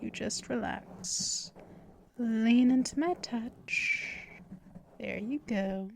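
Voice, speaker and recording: A woman voicing a possessive female vampire mistress in an ASMR roleplay, talking in soft, teasing whispers up close to the mic. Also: a little high, creaky, slight background noise, exaggerated voice. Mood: soothing. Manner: slow, drawn-out delivery.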